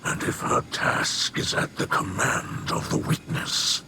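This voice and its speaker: A solemn alien elder from a video game, acted by a man, speaks slowly and formally, as a weary leader and father would. Every phrase is weighty and grave. Game ambience runs noticeably behind the voice. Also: heavy background noise, close to an everyday voice. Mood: grave urgency. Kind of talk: video game cutscene voice acting.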